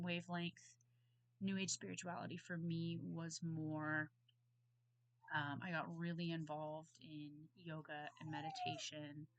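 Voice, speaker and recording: A woman in her thirties recording a casual class response at home on her computer, hoarse from a cold, rambling and apologetic with many ums. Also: lowish voice, fairly bright tone, slight background noise. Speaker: student. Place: at home on a bed. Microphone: computer mic.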